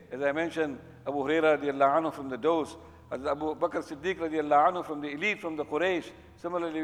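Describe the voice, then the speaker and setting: Fast-talking male preacher in an echoey hall. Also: fairly high pitch. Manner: emphatic, repeats phrases for stress. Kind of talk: religious sermon. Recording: echoey hall with long reverb.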